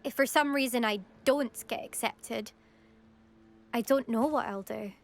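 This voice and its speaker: Competitive schoolgirl in a video game, highish voice, cocky n teasing. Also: measured pace. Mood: wry. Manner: confident, teasing. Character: competitive, cocky teenage schoolgirl broom racer.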